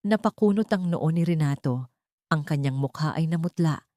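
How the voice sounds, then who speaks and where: A woman's voice: deep voice, lively intonation, normal speed, warm tone, dry recording, clean studio sound. A woman reading a scripted dramatic story as its narrator.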